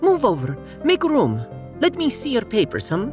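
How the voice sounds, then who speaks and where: A man's voice: very high voice, wildly swooping pitch, normal speed, over music, full character voice. A man voicing a practical, teasing female mathematician with a Russian accent and chiding manner in a sci-fi audio drama. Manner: chiding, no-nonsense.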